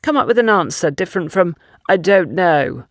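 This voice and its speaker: A woman's voice: fairly low pitch, animated, dry recording, clean studio sound. A woman voicing a stern man who questions bluntly and accusingly in an audiobook story. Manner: blunt, accusing, deliberate emphasis. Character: stern man interrogating and scolding a young woman. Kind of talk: audiobook character dialogue.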